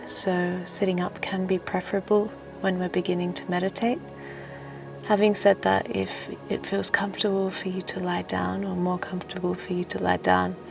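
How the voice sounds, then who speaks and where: A woman guides a relaxation meditation in a soft, even voice with noticeable vocal fry. Her delivery is gentle and soothing, with long pauses between phrases. Music plays behind her. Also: lowish voice. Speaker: meditation teacher and host.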